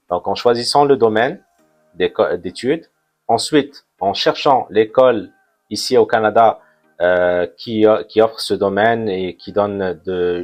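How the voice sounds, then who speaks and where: Man in his forties from Morocco, low voice, measured and hesitant, faint music underneath. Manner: measured, many hesitations with "euh". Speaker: immigration consultant, guest. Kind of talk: interview answers.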